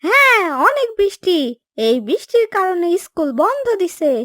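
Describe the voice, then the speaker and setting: Woman as very high-pitched eager cartoon boy. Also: very animated, moderate pace, pushed and bright, dry recording, studio quality, full character voice. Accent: Bangladeshi. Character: earnest, eager, kind-hearted young boy. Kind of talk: Bengali cartoon dubbing.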